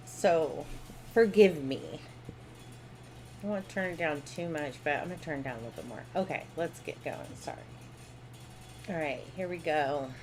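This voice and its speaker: A woman co-hosting a live stream, giving blunt, opinionated commentary in a casual way. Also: sits low. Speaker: co-host.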